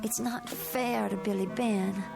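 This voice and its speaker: A woman's voice: mid-range voice, fairly even intonation, unhurried, vocal fry, music in the background. An actress playing a troubled young country woman, pleading and secretive, in a radio drama. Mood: pleading, despairing. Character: anguished young country bride-to-be hiding a dark secret.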